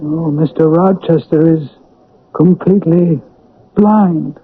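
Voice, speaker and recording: A man playing a kindly, talkative, hesitant country innkeeper in an old radio drama, with folksy drawn-out interjections. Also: a little high, heavy background noise. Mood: somber. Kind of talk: 1970s-80s radio drama acting. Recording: narrow, tinny old radio broadcast.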